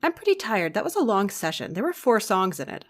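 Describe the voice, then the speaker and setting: A woman's voice: mid-range voice, very animated, brisk, a bit bright, no room sound. A woman playing a blasé, teasing comic book editor in audio drama banter, with flippant, dry humour. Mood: weary, easygoing.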